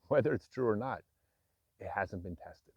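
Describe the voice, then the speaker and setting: A man in his fifties speaks frankly and reflectively to his viewers, mixing self-deprecating asides with earnest admissions. His voice sits low, close and dry, with a slightly dull, muffled sound. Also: expressive, unhurried, breathy edge, dry recording. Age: 50s. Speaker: vlogger. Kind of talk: candid personal talk to camera.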